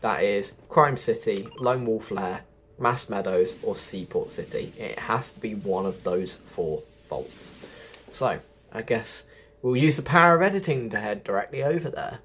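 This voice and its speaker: A man's voice: on the low side, expressive, measured pace, a bit bright, a little hiss or hum. A man giving chatty game quest walkthrough commentary as a host, often correcting himself as he goes. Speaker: gaming video host.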